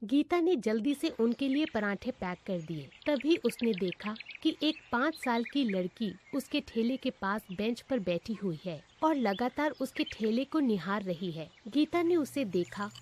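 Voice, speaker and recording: A woman narrates a scripted moral tale as storyteller. Her voice is fairly high, with faint noise behind it.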